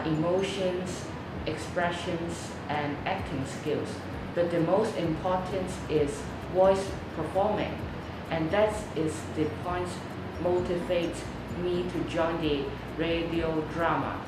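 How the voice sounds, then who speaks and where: A woman's voice: fairly low pitch, takes it slowly. A young female student in her teens giving prepared interview answers. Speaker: student competitor. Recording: very echoey room, loud background noise.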